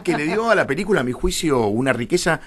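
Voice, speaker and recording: A man's voice: fairly high pitch, expressive, fast talker. An Argentine man from the Buenos Aires area speaking as a filmmaker guest in a casual radio interview, talkative and emphatic, often repeating himself.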